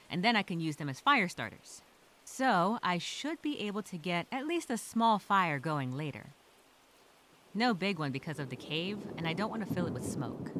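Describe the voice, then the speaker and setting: A woman voicing a resilient, chatty female castaway telling a survival diary, expressive and talking to herself. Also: on the low side, slight background noise. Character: stranded castaway woman journaling, resilient, chatty, wryly upbeat. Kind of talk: first-person diary storytelling, acted.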